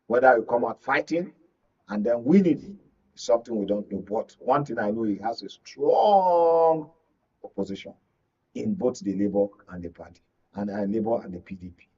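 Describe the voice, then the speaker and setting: A man with a Nigerian accent speaking as a media analyst in a live political discussion, phrasing his points deliberately and with emphasis. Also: medium pitch, expressive, dark tone, husky, muffled recording. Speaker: media analyst, guest pundit.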